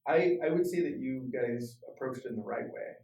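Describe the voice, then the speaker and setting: A man's voice: medium pitch, brisk, vocal fry, dark tone, distant mic, highs cut off. A man co-hosting a podcast in a casual, affirming way. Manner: affirming, lots of "yeah". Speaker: podcast co-host. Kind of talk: casual interview talk. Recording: roomy echo, off-mic.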